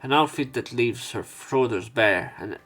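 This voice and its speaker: A man narrating a visual novel let's play, reading the text aloud in a measured way with some stumbles. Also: medium pitch, expressive, fairly bright tone, soft background music. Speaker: let's player.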